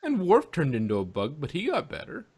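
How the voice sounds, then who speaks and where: A man hosting a casual review podcast, talking in a halting way and circling back over his own phrases. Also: on the high side, huge pitch swings, a little mellow, slightly rough. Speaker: podcast host reviewing audio drama. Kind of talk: casual chat about sci-fi.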